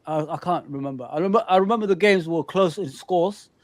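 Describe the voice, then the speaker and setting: A man's voice: sits high, fast, no room sound. A man, a guest on a fan chat show, talking emphatically and repeating his points.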